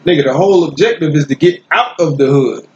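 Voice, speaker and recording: A man's voice: on the high side, brisk. A man talking bluntly and profanely in a casual podcast chat, laying down street advice. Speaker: podcast co-host. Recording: muffled roomy recording.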